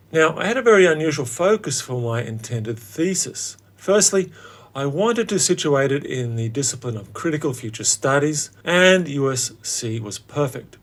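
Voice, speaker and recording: A man in his late forties narrating his own story as a host, in a wry, theatrical way with dramatic pauses. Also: mid-range voice. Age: late 40s. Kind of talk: scripted personal narration.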